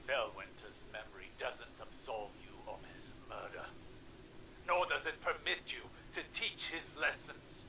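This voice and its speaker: A man's voice: medium pitch, animated, unhurried. A man voicing a brash, impatient mage who demands answers in a video game cutscene. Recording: distant voice with noisy capture.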